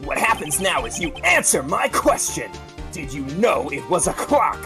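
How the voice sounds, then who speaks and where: A woman's voice: fairly low pitch, very animated, moderate pace, over music. A woman voicing a cocky male defense lawyer in a courtroom game, theatrical, triumphant and taunting. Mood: accusing.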